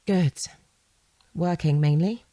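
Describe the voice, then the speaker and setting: An actress playing a composed, probing woman in a naturalistic audio drama, measured, pointed and persistent. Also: low-pitched, warm tone, no room sound. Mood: businesslike. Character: composed, probing woman questioning an evasive man.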